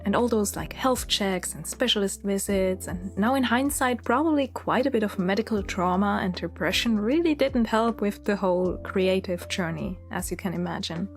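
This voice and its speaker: Swiss German woman in her thirties, chatty and candid voice-over, mid-pitched, soft music underneath. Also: expressive, moderate pace, hardly any pauses. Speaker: illustrator and channel host. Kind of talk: reflective voice-over narration.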